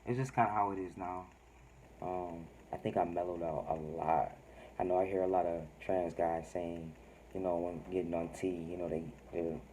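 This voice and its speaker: A man talking casually in a personal vlog update, with a mild, laid-back, halting delivery. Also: low-pitched, darkish tone, slight background noise, poor audio. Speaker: vlogger.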